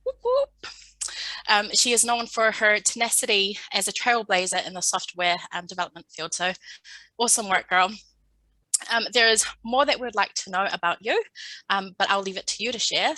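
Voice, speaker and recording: Woman, native Samoan speaker, interviewer, encouraging, bright tone, dry sound. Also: medium pitch, normal speed, no echo, poor audio. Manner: warm and encouraging, cheers the guest on. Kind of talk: interview questions. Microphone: video call.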